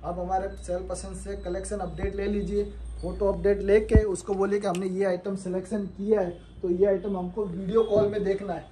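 High-voiced young salesman in a showroom. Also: little pitch movement, background noise. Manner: fast persuasive salesman, shows garments while talking. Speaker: clothing wholesaler. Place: clothing showroom indoors.

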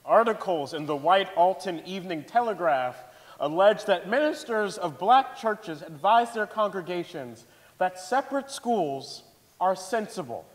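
A man's voice: high voice, animated, a little slow. A man giving a scripted dramatic reading as narrator on a theatre stage. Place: large indoor theatre stage. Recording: echoey theatre hall.

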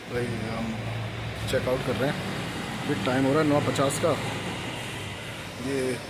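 A man's voice: medium pitch, fairly even intonation, takes it slowly. A man in his thirties casually talking through a travel vlog on a phone at arm's length, outdoors and in a car. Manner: chatty, calling out to friends. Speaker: travel vlogger. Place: outdoors on a rainy hill-town street and in a car. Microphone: phone held at arm's length. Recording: noisy outdoor street.

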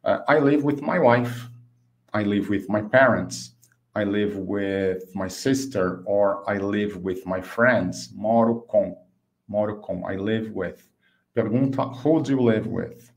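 Low-voiced Brazilian man teaching English. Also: expressive, gravelly. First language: Portuguese. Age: 50s. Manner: didactic, slows down and enunciates example phrases. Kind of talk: online language lesson, explaining and modelling phrases.

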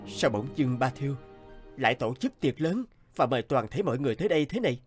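A male actor playing earnest, dramatic young noblemen in an animated fairy tale, with formal theatrical delivery. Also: medium pitch, fast talker, faint music behind, natural voice. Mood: brooding, earnest.

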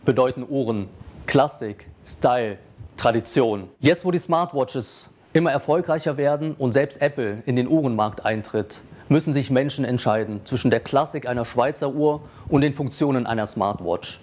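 A man, a company founder, giving an earnest, measured pitch to camera with a lapel mic. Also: darkish tone.

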